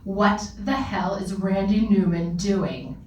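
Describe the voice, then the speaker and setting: Fifties female neighbor read by a woman: slow comedic delivery, noisy, roomy table-read recording. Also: medium pitch, a little mellow, lightly acted. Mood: mocking. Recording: echoey, dull, noisy room recording.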